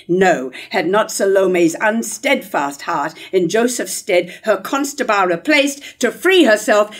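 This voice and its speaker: A woman in her sixties playing a haughty, indignant high-born queen, declaiming stately verse in a staged reading of a tragedy. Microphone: stand microphone at lectern.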